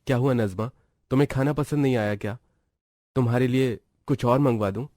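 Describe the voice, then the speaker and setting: Man as a gentle, caring young husband. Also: medium pitch, brisk, close to an everyday voice. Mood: concerned. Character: caring, earnest young husband from wealthy family.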